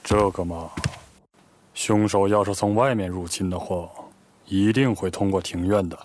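An actor playing a composed, courteous middle-aged lawyer in an audio mystery drama, speaking in a measured, thoughtful way. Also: male voice, sits low, a little mellow, no echo. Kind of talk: radio drama acting. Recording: prominent background music.

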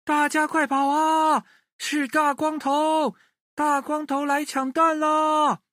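Guy doing a frantic chicken in a kids story, way up high, crying out. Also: level tone, slow pace, a bit bright, no echo, full character voice. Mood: panicked. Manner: urgent, crying out. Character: panicky villagers of a storybook chicken kingdom.

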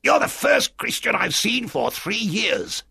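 Excitable, high-pitched marooned old sailor. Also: male voice, vocal fry, slightly rough, full character voice. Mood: pitiful. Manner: strange-voiced, excitable, drawn-out emphatic phrases. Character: marooned half-crazy old sailor, ragged, eager, wheedling. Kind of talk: dramatised storybook reading.

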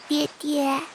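A woman voicing a small excitable toddler in an audio drama, speaking slow, halting, babyish phrases. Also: slight background noise, heavily acted. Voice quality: babyish, squeaky. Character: small excitable toddler who adores daddy. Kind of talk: Chinese audio drama acting.

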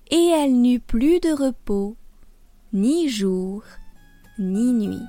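A woman from France giving a scripted French lesson, speaking with careful, deliberate enunciation as a teacher. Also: a little high, dark tone, no echo. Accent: French accent in Mandarin. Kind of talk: scripted language lesson with slow storybook reading.

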